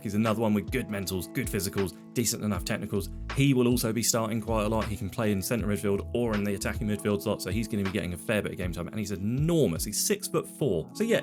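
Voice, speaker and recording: A man with a blocked-up, stuffy voice from a cold chats casually through his football management save, self-deprecating and prone to trailing off mid-sentence. He sits at a home desk speaking into a microphone, with guitar music playing clearly underneath. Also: medium pitch. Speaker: game commentator.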